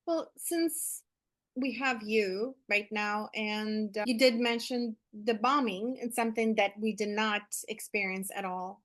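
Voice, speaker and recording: A woman's voice: mid-range voice, measured pace, a bit bright. A woman hosting an interview over a video call, asking questions in an encouraging, admiring way. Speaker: podcast host.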